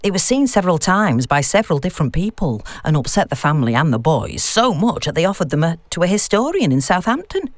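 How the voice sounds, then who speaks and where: A woman's voice: lowish voice, very animated, fast talker, hardly any pauses, dry recording. Unflustered woman academic telling spooky tales, female reader. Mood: eerie storytelling. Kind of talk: audiobook dialogue.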